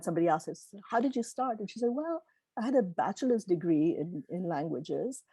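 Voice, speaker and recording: A woman's voice: fairly low pitch, warm tone, slightly rough, no echo. A middle-aged woman whose first language is Gujarati, answering questions informally as a keynote speaker in an encouraging, earnest way. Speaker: keynote speaker, literary publisher.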